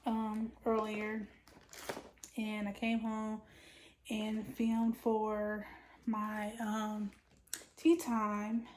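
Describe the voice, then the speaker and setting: A woman, chatting casually about her crochet work in a rambling, informal way while her voice is a bit hoarse. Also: on the high side, slight background noise. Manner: relaxed, rambling, many ums, informal slang. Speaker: crochet vlogger. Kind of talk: casual chat while crafting.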